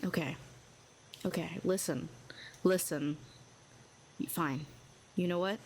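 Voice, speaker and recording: A woman's voice: lowish voice, slow pace, darkish tone, a little hiss or hum. A woman playing a proud, lonely telepathic villain who talks in a sardonic, cool and needling way. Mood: guarded. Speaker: audio roleplay performer. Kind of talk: scripted audio roleplay.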